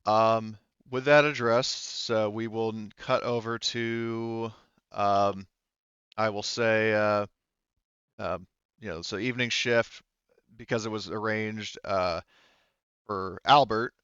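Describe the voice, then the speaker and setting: Halting, low-voiced man as game master. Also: steady tone, fairly bright tone, clean tone, dry recording, dull audio. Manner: halting, hedging, searches for words. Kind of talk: narrating a tabletop roleplaying game, improvised.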